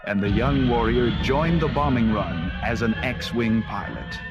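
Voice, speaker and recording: A man's voice: medium pitch, a little slow, dull audio. A man narrating a scripted children's adventure story in a dramatic read-along style. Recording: 1979 cassette, loud music underneath.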